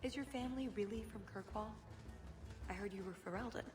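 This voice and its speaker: A woman's voice: mid-range voice, fairly quick, close to an everyday voice. An actress voicing a curious, breezy woman rogue companion in a video game, talking casually and inquisitively.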